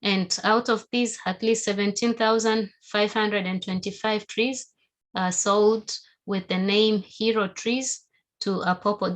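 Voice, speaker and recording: A woman from Tanzania, with Haika as her first language, giving a steady, explanatory webinar presentation over a video call. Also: mid-range voice. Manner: steady, explanatory, with occasional fillers.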